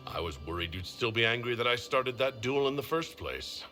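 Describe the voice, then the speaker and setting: A man's voice: mid-range voice, big pitch swings, moderate pace, pushed and bright, soft background music. A man playing an earnest, devoted heroic leader in a video game, speaking sincerely. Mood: earnest, loving. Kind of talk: video game cutscene acting.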